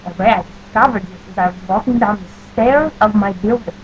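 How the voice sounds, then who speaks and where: A woman with a New Jersey accent talks in a deadpan, oddly formal way, avoiding contractions. Her voice sits at a middle pitch. The recording sounds dull and lo-fi, with a faint hum behind her. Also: brisk. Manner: stiff, overly formal phrasing, avoids contractions, deadpan silliness. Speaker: audio blogger answering a question list. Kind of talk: casual audio post.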